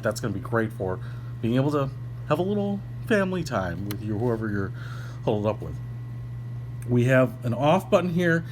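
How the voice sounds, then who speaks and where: A man giving a casual, ad-libbed product review in an informal, chatty way with a bit of slang. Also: fairly low pitch. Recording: clearly noisy recording with hum.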